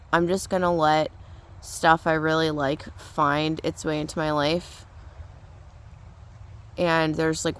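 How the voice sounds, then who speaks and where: A young woman with a low voice, gone hoarse from losing it, talks casually into a phone she holds while out walking. She rambles and thinks aloud in an easy, relaxed way. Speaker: mindset coach vlogger. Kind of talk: casual vlog chat. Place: outdoors on a walk. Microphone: phone held in hand.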